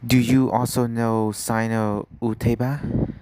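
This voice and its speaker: Slow-talking man reacting to a game. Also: mid-range voice, level tone, over music. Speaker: gaming reaction video host. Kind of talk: casual gameplay commentary.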